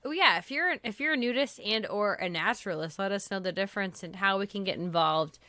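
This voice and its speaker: A woman's voice: medium pitch, brisk, sharp tone. A woman in her late twenties, co-hosting a casual chat with playful, sarcastic jokes. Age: late 20s. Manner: playful, joking, sarcastic asides. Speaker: podcast co-host.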